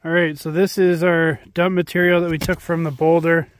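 Matter-of-fact man narrating outdoors, higher pitch. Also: flat delivery, normal speed, no room sound. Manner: matter-of-fact, names minerals while showing rock samples. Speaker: mineral exploration vlogger. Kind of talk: casual field narration. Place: outdoors at a snowy abandoned mine site.

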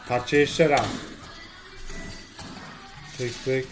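A man's voice: mid-range voice, steady tone, drawn-out words. A man in his thirties giving casual, blunt commentary on a live stream, musing aloud and swearing freely. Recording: stream audio with music underneath. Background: music.

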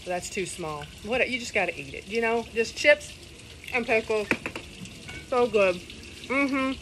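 A woman's voice: a little high, slow pace. Middle-aged woman, casual cooking vlog, outdoors on a phone, chatty asides, faint background noise. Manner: chatty, rambling, folksy asides. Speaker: cooking vlogger. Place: outdoors, sitting in a kiddie pool in a garden. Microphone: phone camera on a table.

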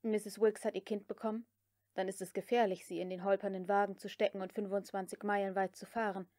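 Female reader doing a cool mocking gentleman in an audiobook, bossy but protective. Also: mid-range voice, flat delivery, brisk, dry recording, lightly acted. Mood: brisk, commanding. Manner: sardonic, crisp, commanding. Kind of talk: dramatic audiobook character reading.

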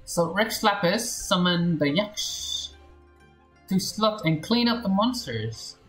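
A woman's voice: on the low side, expressive, slow pace, soft background music. A woman game streamer giving casual playthrough commentary.

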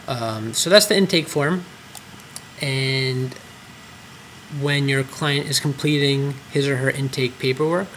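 A man's voice: mid-range voice, takes it slowly, a bit bright, a little hiss or hum, rough recording. A man walking through clinic tracking forms on screen, explaining step by step with frequent ums and uhs. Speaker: clinic trainer. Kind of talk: screen-recorded software tutorial.

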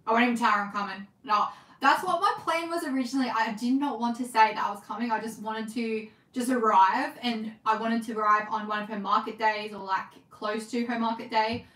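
A young Australian woman chatting casually on a livestream from her sewing room, reading comments, talking fast and laughing often. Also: on the high side, steady tone, pushed and bright, echoey room, poor audio. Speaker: livestream host, scrunchie maker. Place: home sewing room, indoors.

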